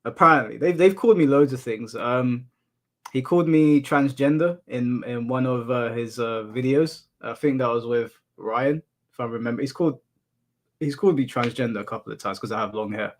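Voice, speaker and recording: A man from the UK with a monotone voice, casually chatting and answering his livestream chat, laughing off insults. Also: mid-range voice, moderate pace. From United Kingdom. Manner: relaxed, laughs off insults, addresses chat as bro. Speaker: streamer. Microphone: headphones in, desk mic.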